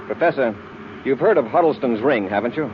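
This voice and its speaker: A man acting as a commanding space patrol leader in a vintage radio serial. He talks fast and firmly, with crisp authority. The old recording is muffled, with clear noise behind him. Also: mid-range voice, expressive, close to an everyday voice. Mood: intent. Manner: brisk, authoritative.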